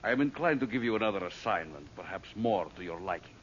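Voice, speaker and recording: Cold criminal mastermind for a vintage radio serial: commanding, clipped, scornful, on a dull old recording. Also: male voice, on the low side, lively intonation, fast, a bit bright, slight background noise. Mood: cold, menacing. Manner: clipped, commanding, formal phrasing. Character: cold, imperious male criminal mastermind, contemptuous and demanding. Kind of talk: 1930s-40s style radio serial acting.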